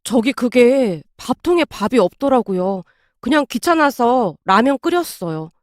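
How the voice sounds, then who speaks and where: A woman's voice: a little high, expressive, a little slow, dry recording, clean studio sound. A woman voicing a meek, good-natured husband in a narrated family story, hesitant and deferential. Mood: downcast, resigned. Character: meek, weary, good-natured husband, polite son-in-law.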